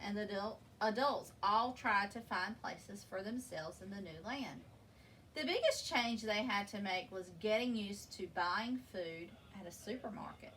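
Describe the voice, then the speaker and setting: A woman reading a children's picture book aloud in an expressive, deliberate storytelling manner, leaning on key words. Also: on the high side. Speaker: story reader. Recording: distant microphone with background noise.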